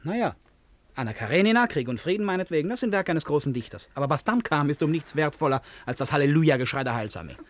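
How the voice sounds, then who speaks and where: A man's voice: a little high, lively intonation, fast talker, natural voice. An actor in a German radio drama playing an erratic, boastful, needling man who swings between confiding and agitation. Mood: scornful, excitable.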